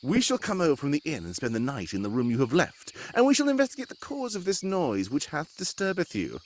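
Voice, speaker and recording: A man's voice: a little high, huge pitch swings, quick pace. A man playing a brusque, condescending detective in a live cold-read radio drama parody, theatrical and commanding. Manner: theatrical, commanding, breaking into ad-libs. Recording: live stage recording with background noise.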